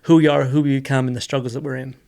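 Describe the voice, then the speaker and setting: A man's voice: mid-range voice, level tone, very fast, warm tone. A man co-hosting a podcast, talking conversationally in an earnest, explanatory manner. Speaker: podcast co-host.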